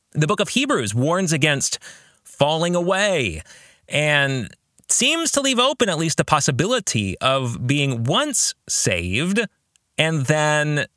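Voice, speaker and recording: A man speaks as a podcast host in a bright, forward voice with animated rises and falls. His phrasing is deliberate and earnest, like someone thinking through religious questions for listeners. The sound is close and very dry, with no room around it. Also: mid-range voice, no room sound. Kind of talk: reflective religious commentary on a book.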